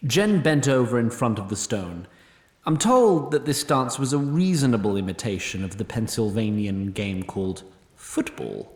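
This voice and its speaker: A man narrating a scripted fantasy story in a wry, measured way. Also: mid-range voice, big pitch swings, darkish tone, echoey room.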